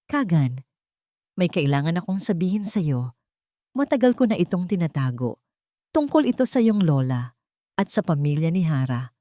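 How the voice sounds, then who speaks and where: A woman voicing a grave, gently calming elderly grandmother in a dramatic story. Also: lowish voice, moderate pace, long pauses, no echo, clean studio sound.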